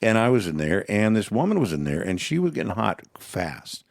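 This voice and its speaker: A man's voice: on the low side, very animated, brisk, no echo, studio quality. A man, co-hosting a casual podcast and telling stories in a folksy way with lots of murmured agreement. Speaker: co-host.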